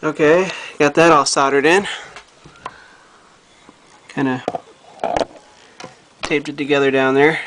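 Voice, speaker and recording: A man's voice: on the high side, fairly even intonation, slow, pauses often, fairly bright tone, a little hiss or hum. A man talking informally and thinking aloud as a hobbyist doing a hands-on electronics repair, filming himself with a handheld camera. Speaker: hobbyist repairing vintage audio gear. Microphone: handheld camera he operates himself.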